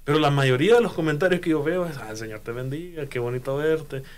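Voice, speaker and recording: A man's voice: on the high side, quick pace, slight background noise. A man from Honduras telling a story in casual conversation, often repeating words as he searches for them. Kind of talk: casual chat.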